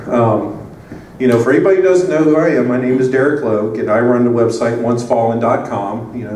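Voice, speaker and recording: A man presenting at a conference, his talk loose and full of fillers and restarts. He sits a little away from the microphone, so the sound is roomy with a faint hiss behind it, and his pitch stays ordinary. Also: darkish tone, distant mic. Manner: rambling, many fillers and restarts. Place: conference room. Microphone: handheld microphone.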